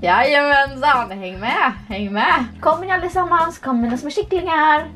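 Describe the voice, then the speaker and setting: A woman's voice: high-pitched, sharp tone, faint music behind. A young woman casually chatting while playing a horse game, playful and giggly, recorded at a desk webcam in a small room. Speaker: vlogger. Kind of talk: casual gameplay commentary.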